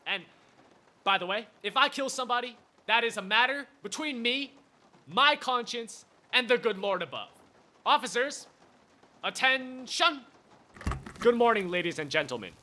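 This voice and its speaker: A man's voice: very high-pitched, animated, normal speed, pauses often, sharp tone, heavily acted. A man playing a pompous, unhinged, self-important official in a radio play, declaiming in a grandiose, melodramatic way. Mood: pompous, commanding. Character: pompous, unhinged, guilt-wracked chief dissector, theatrically self-important. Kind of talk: theatrical radio play acting.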